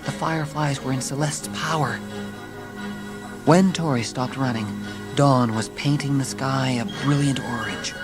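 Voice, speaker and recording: A man's voice: mid-range voice, steady tone, measured pace. A man reading scripted, dramatic narration for a children's audiobook. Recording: music behind the narration.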